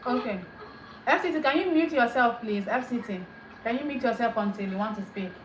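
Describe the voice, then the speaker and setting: Woman host running the chat, brisk and prompting, roomy sound with background noise. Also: a little high. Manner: brisk, prompting, with frequent "okay" and "mm-hmm". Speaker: discussion host. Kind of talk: moderating an online group chat. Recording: echoey online call with background noise.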